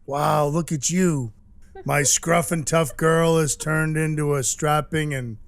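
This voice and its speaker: A man playing a scruffy, apologetic Christmas dwarf in an audio drama, telling his story in a halting, folksy, sincere way. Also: a little high, fairly even intonation, gravelly. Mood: sheepish, tender. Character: male Christmas dwarf turned Santa, scruffy, apologetic, sentimental. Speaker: actor in an audio play.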